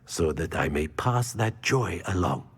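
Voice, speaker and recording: An actor playing a cheerful, dedicated wizard school professor who speaks formally and courteously in game dialogue. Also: male voice, sits low, animated, measured pace, slightly rough, heavily acted. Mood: warm, pleased. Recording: long echoing hall reverb.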